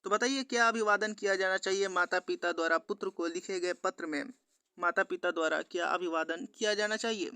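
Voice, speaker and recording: A man's voice: sits high, brisk, bright, forceful tone, smooth, no echo. A man presenting an exam-prep quiz lesson, reading out questions and answers in an instructional, repetitive way to viewers. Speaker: exam-prep teacher on a study channel.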